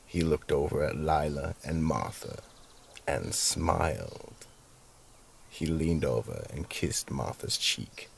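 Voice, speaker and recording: Extremely deep, gravelly male horror narrator. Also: lively intonation, a little hiss or hum. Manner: slow, ominous, dramatic. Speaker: narrator and host. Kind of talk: theatrical horror storytelling, scripted narration.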